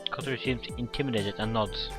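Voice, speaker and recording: A man's voice: mid-range voice, steady tone, quick pace. A man doing let's play commentary, reading a visual novel script aloud, acting out the dialogue and stumbling over words. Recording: game music behind the voice. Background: visual novel background music.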